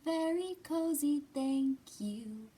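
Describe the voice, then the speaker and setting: A woman's voice: high-pitched, animated, slow pace, mellow, voice pushed up. A woman voicing a small, friendly, cheerful woodland animal puppet in a polite sing-song way for a children's show. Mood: cheerful, cozy.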